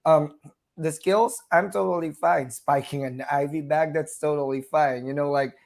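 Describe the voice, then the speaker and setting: A man's voice: on the high side, steady tone, moderate pace, gravelly, a little mellow. A nurse from the Philippines with a Southern US twang, a man talking chattily as an interview guest, with frequent fillers and laughs between phrases. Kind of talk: conversational interview.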